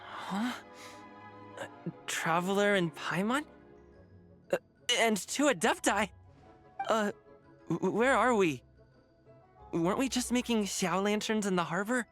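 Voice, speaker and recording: High-voiced, flustered boyish youth. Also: male voice, animated, takes it slowly, long pauses, a bit bright, faint music behind, full character voice. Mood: dazed. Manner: stammering, casual, dazed. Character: boyish, flustered, apologetic young man. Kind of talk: animated game voice acting.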